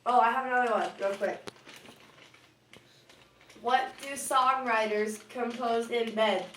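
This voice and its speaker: A young woman tells corny jokes in an unhurried, slightly high voice, giggling and teasing her friend. She is recorded from a distant camera in a roomy, echoing space. Faint noise runs underneath. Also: steady tone, a bit bright. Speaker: puppeteer telling jokes. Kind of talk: casual joke swapping. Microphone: far camera.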